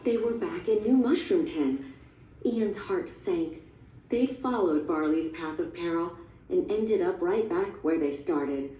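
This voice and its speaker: A woman's voice: fairly low pitch, lively intonation, slow, mellow. A woman reading a scripted storybook narration for a read-along recording. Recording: roomy, distant, music underneath.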